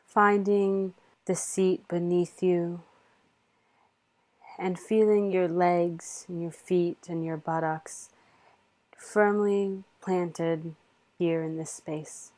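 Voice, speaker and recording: A woman leading a guided meditation in a soothing, measured manner with long pauses. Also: on the low side, fairly even intonation, a little mellow.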